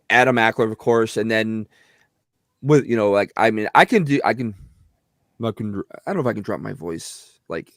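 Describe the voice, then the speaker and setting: Middle-aged actor, slightly whiny, rambling chat, dry close mic. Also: male voice, medium pitch, no echo. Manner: animated, rambling, many restarts. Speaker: actor and guest. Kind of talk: casual video chat.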